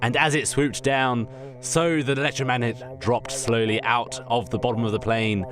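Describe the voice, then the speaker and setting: A man's voice: medium pitch, fairly even intonation, moderate pace, music in the background. A man narrating an improvised comic radio play in a theatrical, rambling way, often correcting himself. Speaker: podcast host narrating a story.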